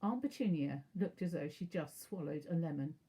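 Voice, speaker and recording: Husky, mellow woman reading a storybook. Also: low voice, expressive, moderate pace, poor audio. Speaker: teacher reading aloud. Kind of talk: children's storybook narration.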